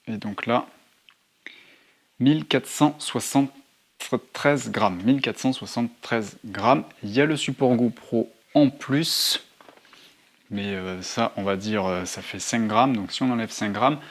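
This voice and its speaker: A man's voice: mid-range voice, takes it slowly. A man narrating a casual hands-on tutorial to his viewers, thinking aloud as he handles things. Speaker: motorcycle vlogger presenting gear. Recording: clear background noise, handling clicks.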